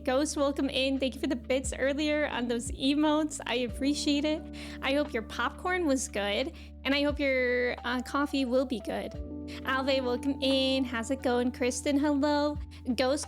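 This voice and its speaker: High-pitched chatty woman streamer. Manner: chatty, informal, giggly. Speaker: live game streamer hosting. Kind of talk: casual livestream chat greeting viewers.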